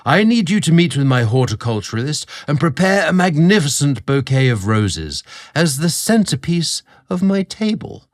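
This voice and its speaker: A man's voice: a little high, big pitch swings, normal speed, pitched above the performer's own voice. Man playing a haughty, commanding manor lord. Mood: commanding, disdainful. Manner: commanding, pompous. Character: haughty, icy, disdainful British lord of the manor.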